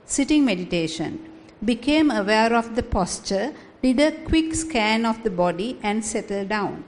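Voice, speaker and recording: A woman reading her meditation report aloud in a steady, even way. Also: on the high side, unhurried. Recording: light background noise.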